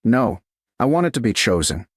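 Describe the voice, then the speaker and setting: A man voicing a composed, resolute noblewoman in a romance story, speaking quietly and evenly. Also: medium pitch, very animated, normal speed, no room sound, clean studio sound. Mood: steady.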